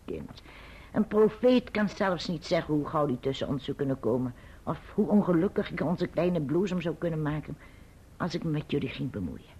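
A woman playing a grim but kindly old great-aunt in a radio play, speaking deliberately and admonishingly in a husky voice. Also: low-pitched, lively intonation, dark tone, a little hiss or hum. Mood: earnest, tender. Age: older. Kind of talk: Dutch radio play acting. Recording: dull, muffled radio recording.

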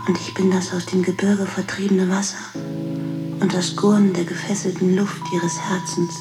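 An actress playing Sarah's part in a poetic radio play, a tender grieving lover with a breathy, measured delivery. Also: female voice, mid-range voice, echoey room, lightly acted. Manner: breathy, hushed, slow and measured.

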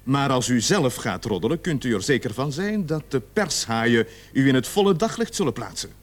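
An actor playing a stern police sergeant in a radio play, speaking formally and with measured authority. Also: male voice, medium pitch, animated, natural voice. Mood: warning. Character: stern police sergeant leading a murder investigation.

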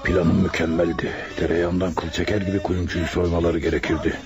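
Male actor as crime boss, very low voice, ruthless, quick to rage, radio drama, prominent background music. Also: level tone, lightly acted. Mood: brooding. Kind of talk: Turkish radio drama acting.

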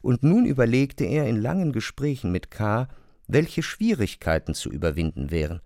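A man narrates a literary radio play in a measured, deliberate way. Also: medium pitch, sing-song, dark tone, no echo, studio quality. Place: studio.

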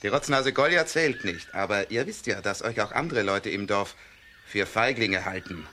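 Sly, animated village troublemaker. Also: male voice, fairly low pitch, normal speed, slight background noise. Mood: needling. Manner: taunting, needling. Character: sly, goading red-haired village lad, mocking troublemaker. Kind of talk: German children's radio play acting.

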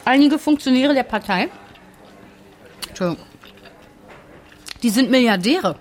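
Indignant expat woman, slightly high voice, blunt, insistent, conversational radio drama. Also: expressive, measured pace. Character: indignant, stubborn German expatriate woman in Shanghai. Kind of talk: conversational documentary drama, arguing.

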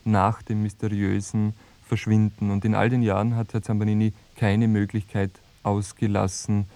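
An actor playing a sober, matter-of-fact radio journalist, measured and deadpan in a mock-documentary report. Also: male voice, fairly low pitch, natural voice. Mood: sober, factual. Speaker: reporter-narrator.

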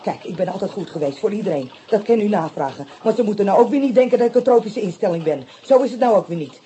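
A woman's voice: medium pitch, expressive, fast, mellow, lo-fi sound, slight background noise, close to an everyday voice. An actress playing a chatty, flustered and indignant landlady in a radio play, garrulous and plaintive. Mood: indignant, nervous.

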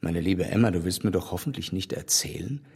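An actor playing an earnest, kindly older gentleman who speaks in a measured, gently reproving way. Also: low voice, gravelly, breathy edge, in a large hall, heavily acted. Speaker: gentleman advising the heroine. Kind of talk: radio drama acting.

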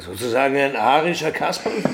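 A wry, creaky-voiced apprentice. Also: male voice, medium pitch, expressive, fairly quick, background noise. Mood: curious, probing. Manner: wry, conversational, questioning. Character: puppeteer's male apprentice Smoot, curious, wry. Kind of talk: radio drama acting.

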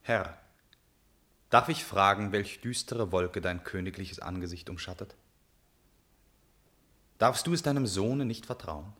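A man's voice: deep voice, unhurried, pauses often, fairly bright tone. A man playing a noble, troubled young Greek prince in a classical verse radio tragedy, earnest and solemn in his declamation. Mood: respectful concern.

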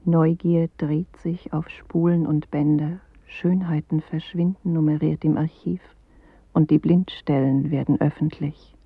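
An actress in a radio play voicing a woman's inner self, reciting poetry in a solemn, hushed, breathy way. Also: sits low, steady tone, unhurried, dry recording, narrow, tinny audio, full character voice. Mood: solemn, detached. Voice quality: breathy, husky. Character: inward female self, a solemn first-person poetic voice. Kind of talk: radio play poetic monologue.

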